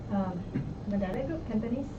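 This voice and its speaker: A young woman with a Singaporean accent, an election candidate answering press questions in a relaxed, casual way. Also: mid-range voice, a little fast. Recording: echoey room, far mic, dull noisy sound.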